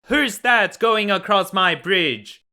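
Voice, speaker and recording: A man voicing a big, angry, bullying troll in a children's storybook, taunting and menacing with a booming, forceful delivery. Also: animated, full character voice. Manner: taunting, menacing, drawn-out questions.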